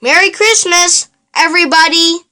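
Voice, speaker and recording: A woman's voice: very high-pitched, moderate pace, bright, forceful tone, pitched above the performer's own voice. A woman voicing a small, cheerful, childlike furry monster in a storybook, polite and eager.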